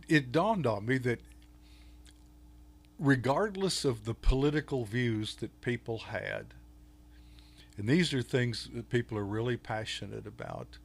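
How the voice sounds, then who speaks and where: Older Texan man, unhurried, halting guest chat full of ums and restarts. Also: mid-range voice. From Texas. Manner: halting, many fillers and restarts. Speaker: podcast guest, author. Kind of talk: casual interview talk.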